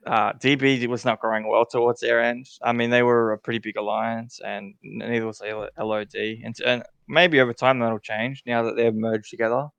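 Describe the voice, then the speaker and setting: A man in a casual podcast chat with a smooth, resonant voice that has a gravelly edge. He thinks aloud, hedges and restarts often. The sound is dry and close to the mic. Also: mid-range voice, level tone, moderate pace, few pauses, a bit bright, no echo. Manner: thinking aloud, hesitant, lots of fillers and restarts. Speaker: podcast co-host ranking game alliances.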